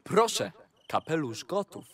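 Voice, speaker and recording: A man playing a sly, boastful talking tomcat servant in a rhymed audio fairy tale, with theatrical, deliberate delivery. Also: on the high side, very animated, a bit bright. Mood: eager, sly.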